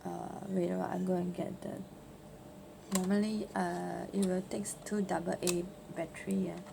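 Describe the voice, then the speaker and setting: A woman in her late forties with a Singaporean accent, giving casual, halting unboxing commentary and ending phrases with "ah". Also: on the low side, level tone, creaky. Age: late 40s. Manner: halting, with trailing "ah" particles. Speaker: vlogger. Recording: noisy amateur home recording.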